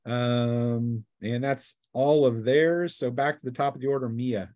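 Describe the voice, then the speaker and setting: A man's voice: medium pitch, fairly even intonation, unhurried, a little mellow, no echo. A man runs a tabletop game as game master, taking his time. He calls the action in plain, colloquial language, with very little room sound on his voice.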